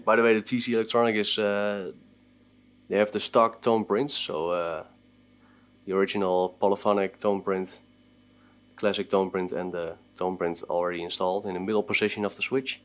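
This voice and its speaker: Low-pitched man, casual and halting. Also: level tone. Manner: halting, informal, thinking aloud. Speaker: guitar effects demonstrator. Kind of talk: casual product demo commentary.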